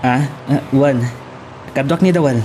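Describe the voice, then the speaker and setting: A man's voice: medium pitch, measured pace, close to an everyday voice. Guy acting a troubled young ilocano rich boy, principled, radio drama, slight background noise. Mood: reserved.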